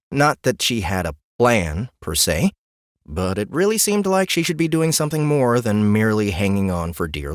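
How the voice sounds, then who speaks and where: A man's voice: on the low side, no echo. A man narrating a comic fantasy audiobook with wry, dry humor in a studio.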